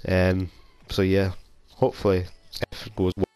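A man's voice: deep voice, monotone, moderate pace, a little mellow, smooth. A young Scottish man with a cold, rambling casually and self-deprecatingly while playing a video game. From Scotland. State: has a cold. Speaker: gaming YouTuber. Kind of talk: casual gameplay commentary. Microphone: console headset mic.

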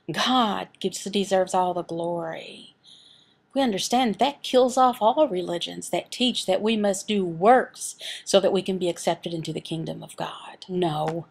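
A woman voicing a signed sermon as an interpreter, speaking deliberately, phrase by phrase with pauses. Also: mid-range voice, gravelly. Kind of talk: sermon and prayer, voiced from sign language.

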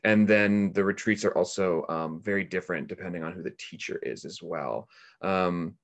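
A middle-aged man with a very deep voice speaks on a video call. He gives reflective interview answers and often halts to search for the next word. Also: moderate pace, creaky. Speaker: interviewed volunteer, meditation practitioner. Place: indoor room on a video call. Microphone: video call microphone.

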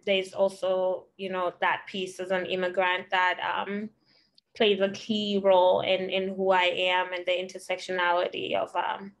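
A woman from Kenya introducing herself on a video call panel, talking haltingly with pauses and fillers. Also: medium pitch, gravelly, rough recording. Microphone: laptop camera on a video call.

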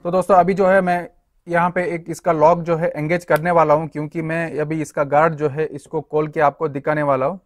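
A man's voice: a little high, level tone, brisk, no room sound, clean studio sound. A young man giving a hands-on walkthrough of a tool, explaining each part to viewers he greets as friends. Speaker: tool reviewer.

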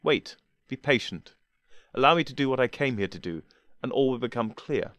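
A man voicing a cool, dispassionate older man who speaks calmly and dryly, with gentle teasing. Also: medium pitch, normal speed, dry recording, lightly acted. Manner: calm, dry, gently teasing.

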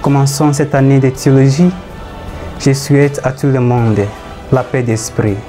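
A young seminarian from Ghana introduces himself in a plain, earnest way. His tone is mellow, with faint music behind him. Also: medium pitch, steady tone, normal speed.

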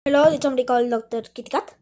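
A woman's voice: sits high, fairly even intonation, racing, noisy background, lightly acted. A woman voicing a helpful, businesslike cat doctor in improvised pretend play. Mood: brisk, helpful.

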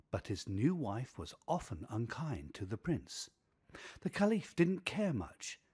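Slow, expressive husky American storyteller man. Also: medium pitch. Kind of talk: scripted children's storybook narration.

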